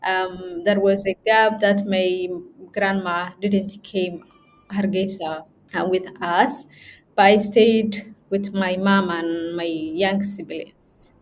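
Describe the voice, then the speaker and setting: A young woman, a guest in an interview, talking in a halting way with many fillers and restarts. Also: mid-range voice, steady tone, slight background noise. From Somali region. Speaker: guest, chief editor. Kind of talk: interview conversation.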